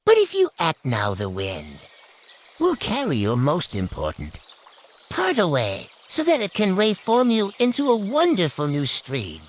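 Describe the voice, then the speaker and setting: Wise cooing desert-sand spirit, as a storyteller plays it, swooping pitch. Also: huge pitch swings, unhurried, a little hiss or hum. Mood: firm, urging. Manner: cooing, emphatic, instructive. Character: wise, coaxing, patient spirit voice of desert sands.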